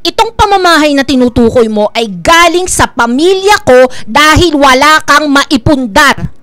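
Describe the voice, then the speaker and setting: A woman's voice: high voice, animated, moderate pace, a bit bright, dry recording. An actress playing a bitter, middle-aged wife and mother in a radio drama, nagging and sarcastic. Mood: furious, accusing.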